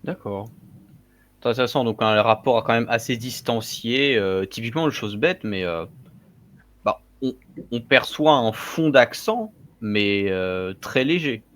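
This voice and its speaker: A man hosting a casual discussion, speaking in a measured, reflective way and thinking aloud. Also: medium pitch. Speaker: host.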